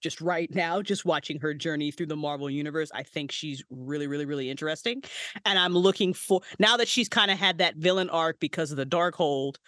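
Woman guest, chatty and excitable, free with profanity, voice jumping high when thrilled; dry, close recording. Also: fairly quick, no room sound. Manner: animated, swears freely, big pitch jumps when excited. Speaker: podcast guest, writer and voice actress. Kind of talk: casual podcast interview.

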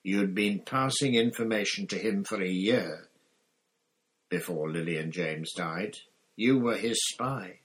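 A man's voice: deep voice, unhurried, fairly bright tone. A man voicing a furious, vengeful man in a storybook, snarling and roaring accusations at a traitor. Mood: furious accusing. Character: furious vengeful man confronting the traitor who betrayed friends.

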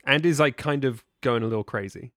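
A man in a casual book discussion, talking in a halting, conversational and appreciative way. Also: medium pitch, fairly bright tone, no room sound.

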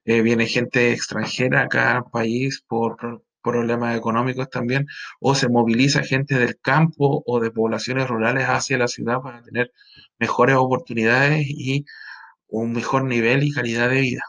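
Chilean male teacher, gravelly mid-range voice, rambling lecture with lots of fillers and check-ins. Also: medium pitch, level tone, moderate pace, fairly bright tone. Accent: Chilean Spanish. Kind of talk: recorded class lecture.

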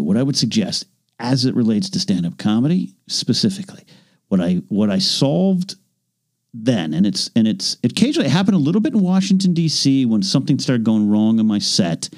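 A man's voice: on the low side, expressive, brisk, a little mellow. A man co-hosting a casual podcast, talking in a rambling, self-deprecating way with many restarts. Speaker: podcast co-host. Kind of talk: casual chat.

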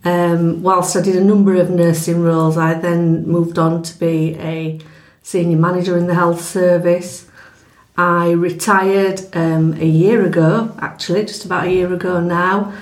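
A middle-aged woman with a Yorkshire accent, a retired nurse and manager chatting with friends, measured and reflective. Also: lowish voice, flat delivery. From England. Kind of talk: casual group chat. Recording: echoey room.

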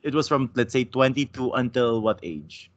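A man's voice: medium pitch, normal speed. A man from the Philippines chatting casually, teasing his co-host and cutting in with questions. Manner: teasing, animated, interrupts with questions. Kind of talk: casual chat. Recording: dull lo-fi sound.

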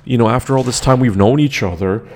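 A man's voice: medium pitch, fast, darkish tone, a little hiss or hum. A man from Canada hosting his own show, talking emphatically with many fillers and restarts into a desk microphone. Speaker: podcast host. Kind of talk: opinionated solo commentary.

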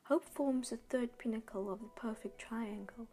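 A woman reading a scripted devotional message in an earnest, measured, encouraging way. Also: fairly high pitch, warm tone. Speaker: devotional reader.

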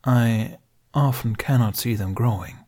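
A man's voice: medium pitch, unhurried, a little mellow, natural voice. A man voicing a grown male Jedi knight who answers politely but evasively. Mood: guarded. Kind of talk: audiobook character voice.

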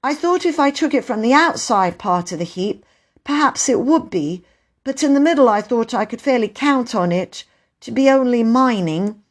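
A woman's voice: a little high, animated, normal speed, pitched above the performer's own voice, close to an everyday voice. Lady doing a stubborn little storybook boy, huffy n earnest, proud, voice goes up and down loads. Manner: earnest, self-justifying, huffy. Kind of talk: storybook character voice.

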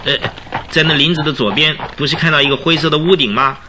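Man playing chatty coachman over clear noise. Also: fairly high pitch, close to an everyday voice. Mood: gossiping, sympathetic. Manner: chatty, folksy, with drawn-out hesitations. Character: talkative, gossipy, sympathetic male village coachman. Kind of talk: Chinese radio drama acting. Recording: noisy track with clicking sounds.

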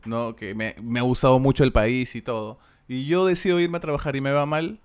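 A man's voice: medium pitch, fairly even intonation, brisk, clear, resonant tone. A man with a Peruvian accent, co-hosting a casual chat in an easygoing, reflective way. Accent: Peruvian Spanish. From Peru.

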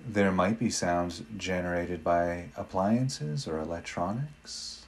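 Guy doing guided meditation, deep voice, really slow and gentle, lots of pauses. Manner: gentle, unhurried, with long pauses.

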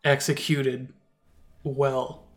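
A man's voice: mid-range voice, little pitch movement, very slow. A man co-hosting a casual album review podcast, talking in a rambling way with many restarts and fillers. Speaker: podcast co-host.

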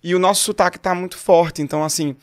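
A young man from Pernambuco with a Pernambuco Portuguese accent, a singer chatting as a guest on a podcast in an animated, storytelling way. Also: sits high, fast. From Pernambuco, Brazil. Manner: animated, storytelling, quotes people. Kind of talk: casual podcast chat.

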